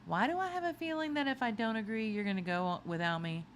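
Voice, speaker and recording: A woman voicing a quirky, bright girl from a children's novel, a loyal friend with a questioning, wry manner. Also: a little high, quick pace, slight background noise, voice pushed up, lightly acted. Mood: wry, resigned.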